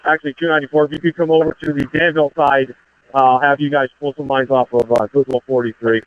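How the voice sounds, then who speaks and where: A man's voice: medium pitch, flat delivery, fast talker, no room sound. A man giving terse, procedural orders as a fire incident commander over two-way radio. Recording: tinny, band-limited fire radio transmission.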